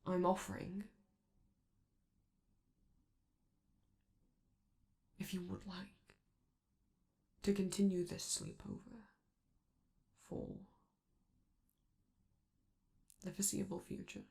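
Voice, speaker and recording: A woman playing a flamboyant, protective robot entertainer in an intimate ASMR comfort roleplay, speaking softly and theatrically with affection, hesitance and breathy drops into whispers. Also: mid-range voice, level tone, normal speed, pauses often, close to an everyday voice. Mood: tender, hesitant.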